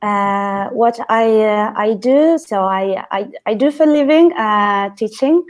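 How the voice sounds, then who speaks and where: A woman's voice: medium pitch, slow. A woman with an Italian accent, a mid-range voice, speaking on a roundtable. She hesitates over her words, restarts phrases, and laughs lightly between them. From Italy. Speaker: teacher guest. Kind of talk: roundtable discussion.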